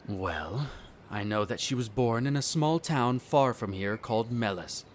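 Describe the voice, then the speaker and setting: A man acting a haughty, bitter centuries-old vampire noble in an audio roleplay, speaking in a formal, measured, condescending way. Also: mid-range voice, animated, a little hiss or hum, lightly acted. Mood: musing, wry. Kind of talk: scripted roleplay audio drama monologue.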